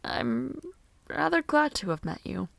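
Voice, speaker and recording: A woman voicing a slow, gravelly-soft young noblewoman. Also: fairly high pitch, sing-song, no room sound, exaggerated voice. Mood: gentle. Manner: formal, polite and soft-spoken with shy laughs. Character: gentle young noblewoman caring for a bedridden stranger. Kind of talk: audio roleplay addressed to the listener.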